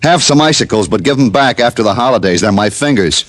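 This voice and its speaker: A young, put-upon office clerk, acted by a man, with a medium-pitched voice. He complains in slangy, good-natured wisecracks in the style of vintage radio comedy, with music in the recording. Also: lively intonation, fast, natural voice. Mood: plaintive, wry. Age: young adult. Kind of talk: 1940s-50s radio comedy acting. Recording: old radio broadcast with loud music.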